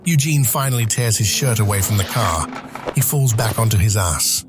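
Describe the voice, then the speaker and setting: A man's voice: on the low side, animated, normal speed, music in the background. A man narrating scripted stage action as the narrator of an audio drama.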